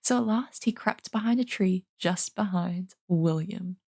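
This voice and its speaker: Husky woman reading a fantasy storybook. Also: on the low side, unhurried, darkish tone. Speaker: streamer reading an audiobook. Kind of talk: dramatic storybook narration.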